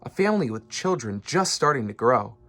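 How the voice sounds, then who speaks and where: A man voicing an earnest, gentle, protective elephant in a storybook, with a pleading, emphatic manner. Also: mid-range voice, very animated, brisk. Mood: pleading, sincere.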